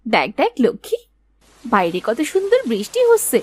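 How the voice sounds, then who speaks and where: Woman as a high-pitched earnest village girl. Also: huge pitch swings, normal speed, slight background noise. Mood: eager. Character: caring, timid young village sisters, poor orphan girls. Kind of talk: Bengali cartoon story acting.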